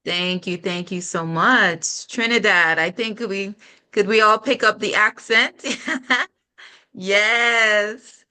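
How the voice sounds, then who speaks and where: A woman's voice: mid-range voice, fairly even intonation, slow, bright, forceful tone, clean tone. A middle-aged woman hosting an online panel show, speaking reflectively about health in spiritual terms. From Caribbean. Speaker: host.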